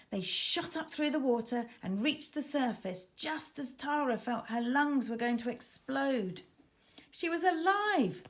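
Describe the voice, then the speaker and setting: Lady reading a kids story, highish voice, super expressive and dramatic, pitch all over the place. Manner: dramatic, animated storytelling emphasis. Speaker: author reading own children's book. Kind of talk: expressive children's storybook narration.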